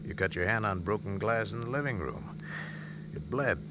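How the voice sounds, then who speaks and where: Low-voiced folksy older lawman, played by a man. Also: normal speed, natural voice. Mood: calm, knowing. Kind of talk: 1950s radio drama acting. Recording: muffled, noisy vintage radio recording.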